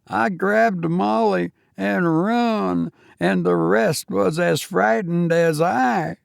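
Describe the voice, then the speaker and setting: A man voicing a nervous, deferential young Cockney servant with rough working-class speech. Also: high voice, slow pace, dry recording, studio quality, voice pushed up, heavily acted. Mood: uneasy.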